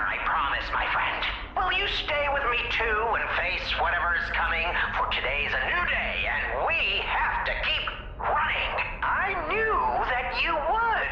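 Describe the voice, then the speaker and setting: A man's voice: extremely high pitch, roomy sound, muffled recording, background noise, exaggerated voice. An actor playing a gleefully sinister, theatrical trickster king who speaks in mocking rhymes for a video game. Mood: playful, teasing. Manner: rhyming, mocking, flamboyant showman. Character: theatrical riddle-obsessed self-styled king, gleefully sinister male trickster.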